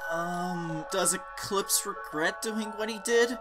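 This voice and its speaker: A performer playing a gentle, curious listener in a fan comic dub, asking questions in a tentative, sympathetic way. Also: medium pitch, slow, loud music behind the voice, natural voice. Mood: tentative concern.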